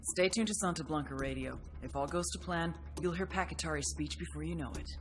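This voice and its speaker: An actress playing a wry, sardonic intelligence briefer who narrates in a conversational, profane way in a video game. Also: female voice, low-pitched, fairly quick, background noise. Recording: music behind.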